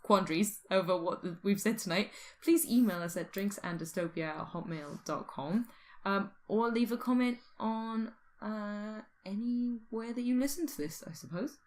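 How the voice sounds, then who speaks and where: A woman's voice: medium pitch, moderate pace. A woman co-hosting a podcast in casual, bantering chat, with a playful, giggly, teasing way of talking. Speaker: podcast co-host.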